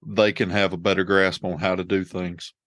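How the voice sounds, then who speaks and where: A man with a very deep voice hosts a podcast in plain, earnest talk. He speaks openly about faith. The sound is dry and close, with no room around it. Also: flat delivery, normal speed, fairly bright tone, no echo. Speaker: podcast host. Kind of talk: casual conversation.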